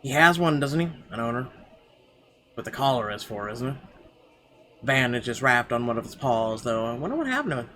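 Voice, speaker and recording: A man's voice: medium pitch, sing-song, a little fast, long pauses, bright, forceful tone. A man narrating a visual novel as a game streamer, reading expressively with small stumbles and asides. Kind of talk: reading visual novel text aloud, let's play. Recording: game music behind the voice.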